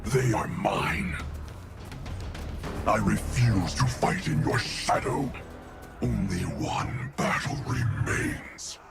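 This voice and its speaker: A proud, brooding warrior with a low, gravelly voice and a lively pitch. He speaks slowly and in clipped phrases. Loud music fills the background. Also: full character voice. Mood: defiant. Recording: game cutscene over loud score.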